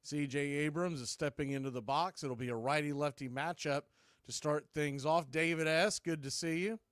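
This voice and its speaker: A man hosts a live baseball broadcast. His voice sits a little high and is very clean and smooth, recorded close and dry. He talks in a folksy, informal way, moving between play-by-play and chat with listeners. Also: normal speed, a bit bright, dry recording. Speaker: baseball play-by-play streamer and show host. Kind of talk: casual live sports commentary.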